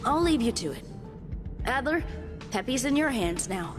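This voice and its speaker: An actor playing a caring young woman who is quick to help, in video game dialogue. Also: a little high, big pitch swings. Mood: gentle. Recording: music behind the voice.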